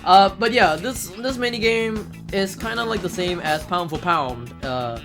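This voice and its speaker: Someone doing casual speedrun commentary while playing, excitable and prone to playful exclamations and acted-out reactions. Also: mid-range voice, unhurried, over music.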